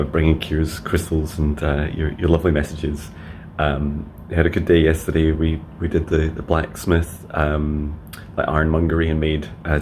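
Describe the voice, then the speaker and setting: A man speaks in a very deep, level voice. He offers soft, slow affirmations, then drifts into chatty diary updates with plenty of ums. A faint hiss sits under the phone recording. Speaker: psychic mentor. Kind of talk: meditation messages and video diary updates.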